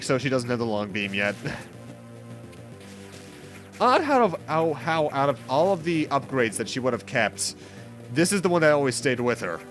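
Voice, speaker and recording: Male let's play host, rambling explainer, animated delivery, game music underneath. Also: on the high side. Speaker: gameplay host. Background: video game music.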